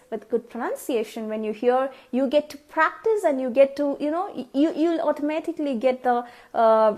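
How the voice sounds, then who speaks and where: A young Indian woman with a high, bright voice, chatting casually through a vlog. She speaks English with an Indian accent, since her first language is Tamil. She repeats herself often and pauses to sound out words to show how they should be said. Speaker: vlogger.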